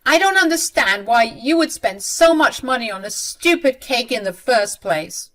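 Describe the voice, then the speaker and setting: A female narrator puts on an indignant middle-aged mother-in-law from a family drama story. The voice sits high, with exclaiming, irritated questions and a defiant air of innocence. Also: moderate pace, a bit bright, close to an everyday voice.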